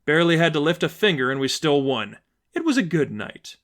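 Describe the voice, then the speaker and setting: A man's voice: on the high side, sing-song, fairly quick, a bit bright. A man voicing a young female superhero in a story reading, cocky and gleeful, with a sarcastic, breezy, chatty delivery. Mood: triumphant.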